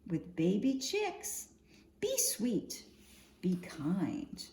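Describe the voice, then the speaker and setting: A woman reading a picture book aloud for young children in an expressive, singsong storytime manner, often posing questions to her listeners. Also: medium pitch, drawn-out words, in a whisper. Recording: roomy echo, faint background noise.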